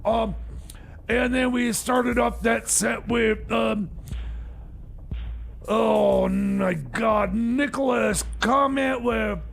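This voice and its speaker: A man's voice: extremely high pitch, steady tone, drawn-out words, creaky, a bit bright, a little hiss or hum. A man hosting a music radio show off the cuff, flustered and hesitant, stammering and grumbling as he goes. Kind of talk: live ad-libbed comic music radio patter.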